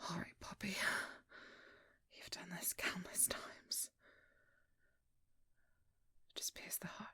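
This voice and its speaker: A woman playing a determined, wounded vampire hunter in an ASMR roleplay, with a breathy, husky voice that often drops near a whisper and an intimate, intense delivery. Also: slow pace, pushed and bright. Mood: cold, anguished resolve. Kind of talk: ASMR roleplay audio drama.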